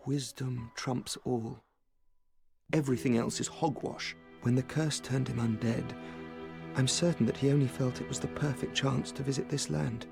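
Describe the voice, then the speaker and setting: Male actor as earnest sorcerer, formal and courteous, creaky voice, game dialogue over music. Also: medium pitch, close to an everyday voice. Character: polite, earnest male sorcerer, grateful and scholarly. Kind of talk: video game character dialogue.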